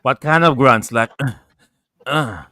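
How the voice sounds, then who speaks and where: A man's voice: medium pitch, unhurried, sharp tone, no echo, clean studio sound. A man chatting casually and jokingly as a panelist on a podcast.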